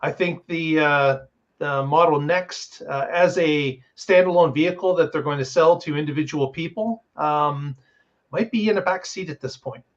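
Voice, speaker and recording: Guy on a panel, highish voice, halting casual commentary, kinda muffled lo-fi mic. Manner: halting, pausing between phrases. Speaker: talk show panelist.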